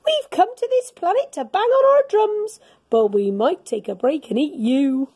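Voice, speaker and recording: A woman's voice: high-pitched, lively intonation, moderate pace, a little mellow, pitched above the performer's own voice. A woman voicing a blunt, menacing, hungry alien drummer from a children's storybook.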